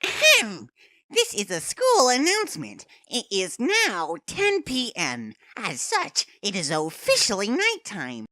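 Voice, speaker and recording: A woman's voice: a little high, wildly swooping pitch, slow, bright, forceful tone, no echo, heavily acted. A woman voicing a gleefully menacing robot bear headmaster in a fandub, theatrical, mock-sweet and taunting. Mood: smug, officious. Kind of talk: anime game fandub acting.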